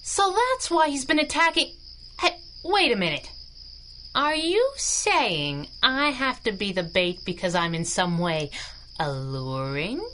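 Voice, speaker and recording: An actress playing a plucky, flirtatious masked sidekick, wisecracking in a 1930s-style adventure radio drama. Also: female voice, mid-range voice, very animated, a little slow, fairly bright tone, a little hiss or hum. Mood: sassy, indignant. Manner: wisecracking, saucy.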